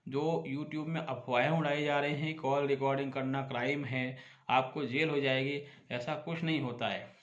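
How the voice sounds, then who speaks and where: A man's voice: medium pitch, lo-fi sound. A man explains law informally to viewers as a host and advocate, arguing emphatically and posing rhetorical questions. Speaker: advocate giving legal advice as channel host. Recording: echoey, roomy sound.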